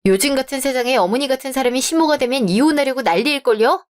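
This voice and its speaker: A composed young woman who stays polite but will not back down, read by a woman in a radio drama. Her voice sits fairly high and her reasoning comes out steady. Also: quick pace, natural voice. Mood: firm.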